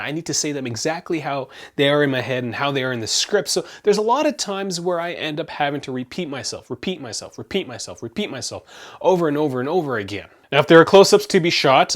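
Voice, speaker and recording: A man's voice: medium pitch, a bit bright. A young man from Canada, hosting his own tech review channel, talking casually to camera with lots of fillers and self-corrections. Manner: chatty, rambling, frequent self-corrections and fillers.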